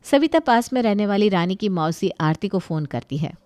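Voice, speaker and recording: A woman's voice: mid-range voice, expressive, moderate pace, a little mellow, dry recording. A woman narrator reading a scripted family story.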